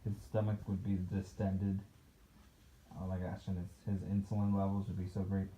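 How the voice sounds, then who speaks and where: A man's voice: sits low, flat delivery, normal speed, warm tone. A man reading a picture book aloud as a dad to a child and chatting about it in a playfully critical, conversational way. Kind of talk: storybook read-aloud with casual chat. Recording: dull, noisy home recording.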